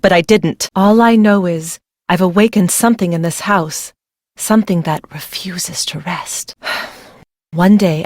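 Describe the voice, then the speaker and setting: A woman's voice: on the low side, no room sound, clean studio sound, close to an everyday voice. A young woman playing a secretive, fearful young bride in a video game, speaking in a weary, brooding, dramatic way.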